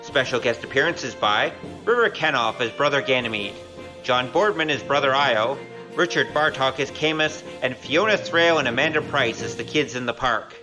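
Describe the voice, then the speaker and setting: A man's voice: mid-range voice, normal speed, music in the background, rough recording. A man reading scripted opening credits as the announcer of an audio drama.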